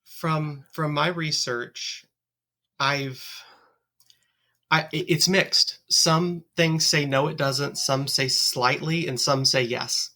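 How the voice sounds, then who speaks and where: A woman's voice: sits low, steady tone, slow pace, pushed and bright. A woman guest on a podcast, answering frankly and thoughtfully. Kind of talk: casual interview answers.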